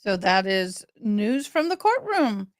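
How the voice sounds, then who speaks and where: A woman hosting a casual livestream, talking in a halting, rambling way. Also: mid-range voice, big pitch swings, no room sound, clean studio sound. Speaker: livestream host.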